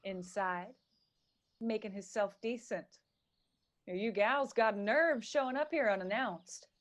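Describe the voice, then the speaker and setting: A woman's voice: medium pitch, unhurried, slight background noise, close to an everyday voice. A woman playing a brazen, cool, haughty young backwoods mistress in a radio drama, with an Appalachian accent. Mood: cool disdain.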